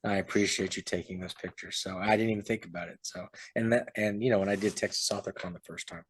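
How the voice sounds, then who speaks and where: A man's voice: on the low side, fairly even intonation, quick pace, slight background noise. A man, an author co-hosting a podcast, chatting casually and rambling, often trailing off with "so". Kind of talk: casual chat.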